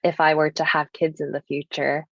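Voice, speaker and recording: A woman's voice: deep voice, flat delivery, moderate pace, no room sound. A young woman from the United States whose first language is Japanese, speaking as a podcast guest in an earnest, thoughtful way, with many casual fillers. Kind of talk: reflective personal conversation. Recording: dull lo-fi sound.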